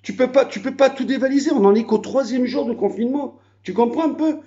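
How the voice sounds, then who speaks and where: A man's voice: very high-pitched, brisk, warm tone, dull audio. A man from France chatting casually at his country home on a phone video, rambling with many fillers. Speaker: vlogger. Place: house in the countryside.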